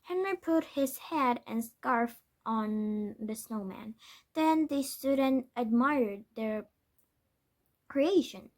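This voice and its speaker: A woman reading a children's storybook aloud as narration, with halting delivery and corrected stumbles. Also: on the high side, lively intonation. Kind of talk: scripted children's book narration.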